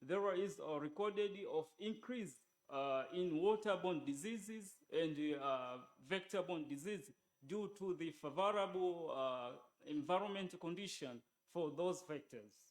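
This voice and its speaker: Male presenter, slightly high voice, slow and hesitant formal delivery. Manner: halting, hesitant delivery with broken phrases.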